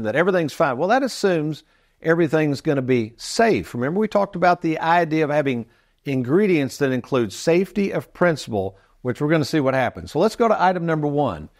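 A man's voice: fairly high pitch, lively intonation, a little fast. A man in his sixties presenting financial advice as a show host, folksy and emphatic as he explains to viewers. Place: TV studio set, indoors.

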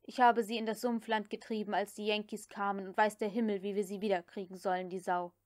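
A woman's voice: mid-range voice, little pitch movement, fairly quick, natural voice. A woman voicing a deferential, anxious male house slave in an audiobook, proud but tactful, with a plaintive way of protesting. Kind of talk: audiobook character dialogue.

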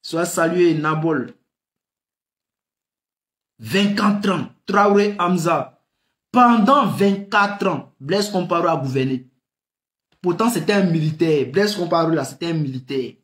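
A man's voice: on the high side, slow, long pauses. A man in his thirties with a West African French accent, giving impassioned political commentary to camera, full of rhetorical questions and laughs. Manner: animated, rhetorical questions, frequent laughs, gestures with hands. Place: small room indoors. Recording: echoey room.

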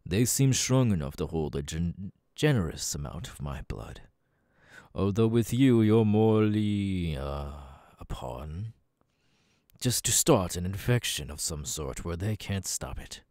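A man voicing a cold, domineering demon lord in an ASMR audio roleplay, commanding and contemptuous, dropping into breathy murmurs. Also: low-pitched, expressive, unhurried, dark tone, dry recording, studio quality. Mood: calculating.

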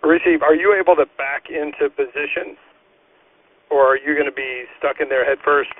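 A man working as a fire incident commander, giving procedural orders over a two-way radio with deliberate pauses. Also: on the high side, fairly even intonation, dry recording. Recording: tinny narrowband fire radio scanner audio.

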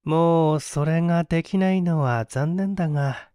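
A man's voice: fairly high pitch, unhurried, dark tone, clean tone, no room sound, studio quality, lightly acted. A man voicing a cruel fifteen-year-old boy killer in an audiobook story, boastful, mocking and unrepentant. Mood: gleeful boasting.

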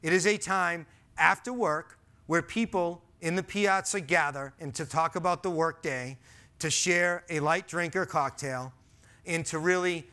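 A man's voice: a little high, pushed and bright. A man in his forties with a Boston accent, giving an earnest, emphatic talk on a stage with a headset mic. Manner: earnest, emphatic, gestures while speaking. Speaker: conference speaker. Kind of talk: stage presentation. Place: large dark auditorium stage.

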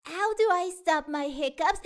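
A woman's voice: extremely high pitch, measured pace, voice pushed up. A woman voicing an eager, curious young boy cat in a children's storybook, with a childlike, exclaiming manner. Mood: hiccuping, puzzled.

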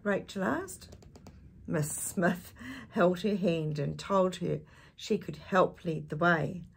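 An older woman reads a children's picture book aloud in a slightly low, husky voice. She takes her time over the story and breaks off for chatty asides, putting questions to her young listeners in an animated way. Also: slow pace. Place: small indoor space with curtain backdrop.

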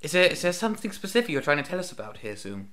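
An actor playing an earnest, patient support group leader, encouraging and placating in a comedy sketch. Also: male voice, a little high, sing-song, rapid-fire, fairly bright tone. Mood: gently supportive. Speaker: group therapy facilitator.

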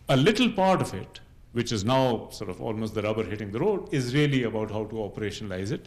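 A middle-aged man speaking unscripted on a panel, explaining in a measured way and often checking that listeners follow. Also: mid-range voice. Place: university lecture room, seated at a panel table.

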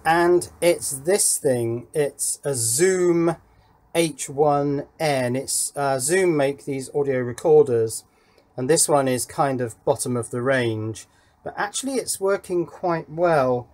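A man's voice: a little high, slow, darkish tone. A man presenting an electronics project in casual, explanatory talk, thinking aloud as he demonstrates at an indoor workbench.

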